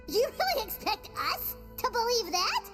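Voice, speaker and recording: Tiny sassy game sidekick, extremely high squeaky voice, unhurried, animated, music behind. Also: female voice, heavily acted. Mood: sassy, mocking. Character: tiny, cheeky, excitable childlike companion. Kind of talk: video game character acting.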